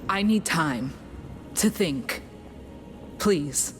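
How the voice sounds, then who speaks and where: A woman playing a stern, proud rebel leader in a fantasy game, deliberate and authoritative. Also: low-pitched, animated, heavily acted. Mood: troubled, commanding. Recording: loud music behind.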